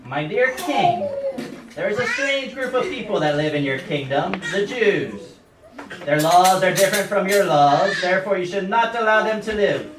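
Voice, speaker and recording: A man's voice: sits high, animated, moderate pace. A man voicing a scheming, vengeful royal official in a puppet show, theatrical and grandly indignant. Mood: scheming, flattering. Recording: noisy, roomy, distant home recording.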